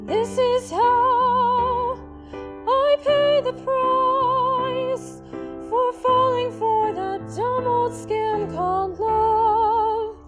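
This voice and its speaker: A woman playing a disillusioned wife, singing wistfully of lost love in drawn-out musical theatre style. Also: very high voice, fairly even intonation, a bit bright, soft background music, exaggerated voice. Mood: wistful, mournful.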